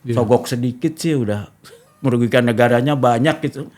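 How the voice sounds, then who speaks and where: Middle-aged man, mid-pitched voice, casual talk show conversation, step-by-step explaining. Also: brisk, a little mellow. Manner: discursive, explains step by step with history and examples.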